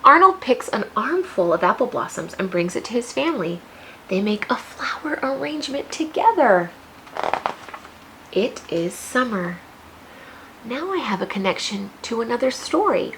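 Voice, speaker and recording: Lively, high-voiced American woman reading to children. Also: moderate pace, background noise. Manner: expressive, addressing young children with questions. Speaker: teacher reading a picture book aloud. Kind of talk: children's read-aloud with teaching asides.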